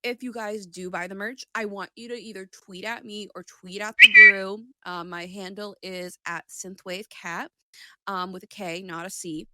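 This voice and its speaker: A woman co-hosting a podcast in casual chat, excitable, chatty and full of slang. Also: mid-range voice, normal speed, noisy background. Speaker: podcast co-host.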